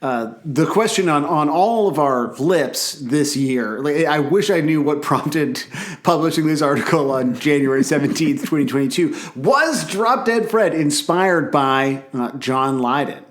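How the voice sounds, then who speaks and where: A man co-hosting a podcast in casual chat, rambling and halting with lots of fillers. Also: on the high side, animated. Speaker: podcast co-host.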